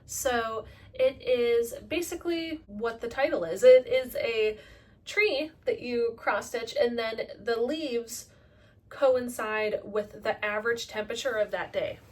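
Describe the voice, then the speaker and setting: A woman in her late twenties chatting casually about her crafting projects in a relaxed monthly update, with the odd self-deprecating joke. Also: fairly high pitch, takes it slowly, recorded from a distance. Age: late 20s. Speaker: crafting vlogger.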